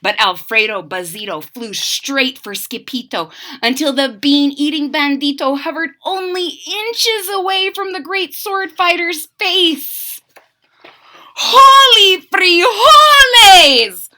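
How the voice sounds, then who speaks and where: Female storybook reader: bright, unhurried, dramatic, pitched for young children. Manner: dramatic, explains to young listeners. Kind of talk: expressive children's read-aloud.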